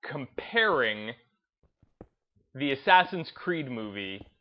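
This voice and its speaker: A man hosting a gaming video series, giving unscripted commentary in a halting, reflective way and often rephrasing himself. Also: mid-range voice, sing-song, muffled recording.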